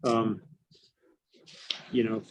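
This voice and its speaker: A man chairing a review board meeting, speaking in halting, restarted phrases. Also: fairly low pitch, flat delivery, slight background noise, low-quality recording.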